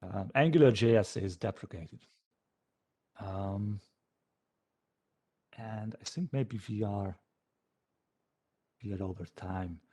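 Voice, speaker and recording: A man, a developer informally answering questions after a talk in a hesitant, halting way, as if thinking aloud. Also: fairly low pitch, dark tone. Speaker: software developer giving a conference talk.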